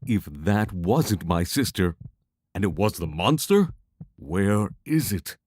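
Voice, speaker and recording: A man's voice: low-pitched, expressive, slow pace, breathy edge, heavily acted. A man playing an anxious, skeptical adventurer in an audio drama, speaking in a hesitant, fretful way. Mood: worried.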